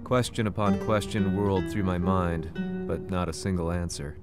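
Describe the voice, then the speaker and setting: A man's voice: sits low, steady tone, normal speed, natural voice. A male actor playing an earnest, troubled reporter in a video game, with a grave storytelling manner. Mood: somber, reflective. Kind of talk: dramatic video game narration and dialogue. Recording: loud music behind the voice.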